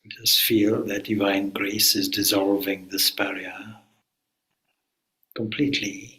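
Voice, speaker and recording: A man, a swami, leading a guided meditation in a calm, unhurried way with long pauses. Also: lowish voice, low-quality recording.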